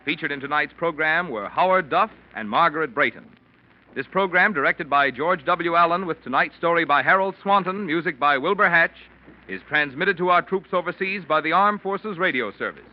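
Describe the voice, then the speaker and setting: A man's voice: on the high side, normal speed. A man reading scripted announcements in the formal, polished manner of a 1940s radio announcer. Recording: muffled vintage radio, loud music behind.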